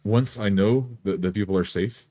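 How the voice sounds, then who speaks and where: Man playing earnest, deep-voiced adventurer Philippe. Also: warm tone, no echo, lightly acted. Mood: earnest, planning. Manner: deliberate, halting.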